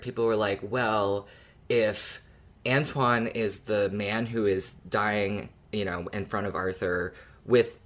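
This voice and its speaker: Male podcast co-host, lower-pitched voice, thoughtful and explanatory, casual chat. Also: fairly bright tone. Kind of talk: casual podcast discussion of a fiction show.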